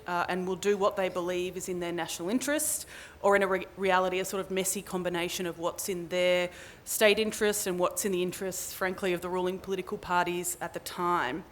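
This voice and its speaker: A woman answering as a panelist at a conference, frank and quick, with small restarts. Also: lowish voice, steady tone, slight background noise. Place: conference hall.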